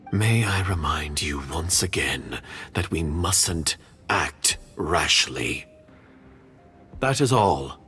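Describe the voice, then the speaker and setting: A calculating secret agent villain for a video game. The voice is low, with wide swings in pitch, and the delivery is formal and guarded. There is light music behind it. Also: male voice, lively intonation, drawn-out words, long pauses, bright, forceful tone, full character voice. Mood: guarded, smooth. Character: cold, cautious foreign agent villain, calculating man. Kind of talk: video game character acting.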